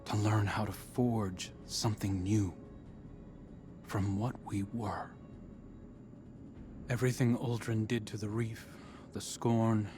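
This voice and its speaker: Slow, low-voiced remorseful hunter. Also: male voice. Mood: earnest, humble. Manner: slow, reflective, earnest. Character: remorseful male guardian hunter seeking redemption. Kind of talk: video game cutscene acting.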